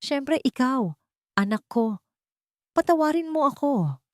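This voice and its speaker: Woman voicing a broken mother, halting, higher-pitched. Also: expressive, no echo, studio quality. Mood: tearful, remorseful. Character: proud greedy mother, broken and remorseful.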